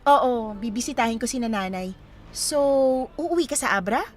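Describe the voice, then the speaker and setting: An actress playing a young single woman in a radio romance drama, coy, teasing and expressive as she talks with a man she likes. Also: a little high, normal speed, lightly acted. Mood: bashful, pleased. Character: young single woman shyly smitten with a travel acquaintance.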